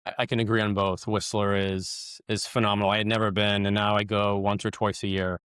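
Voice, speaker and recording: Smooth low-voiced male podcast host. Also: level tone, fairly quick, a bit bright, dry recording, studio quality. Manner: filler-heavy, stumbling restarts. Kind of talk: casual interview questions.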